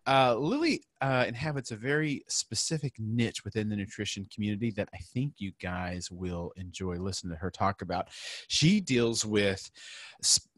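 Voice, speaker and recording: A man hosting a podcast interview, talking in a halting way with many fillers and restarts. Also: lowish voice, animated, a bit bright, no room sound. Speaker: podcast host.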